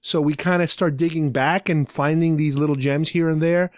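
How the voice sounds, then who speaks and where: A man's voice: on the high side, fairly even intonation, normal speed, no room sound, studio quality. A middle-aged man hosting a podcast, talking casually and explaining things like an enthusiastic fan. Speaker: podcast host.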